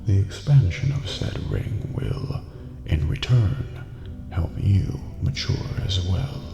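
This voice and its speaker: A man voicing an eerie, courteous old master of a dream prison in a video game ASMR roleplay, speaking formally in a breathy near-whisper. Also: sits low, animated, heavily acted. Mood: grave, patient. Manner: slow, formal, measured, cryptic. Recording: reverberant hall, muffled, music behind. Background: music.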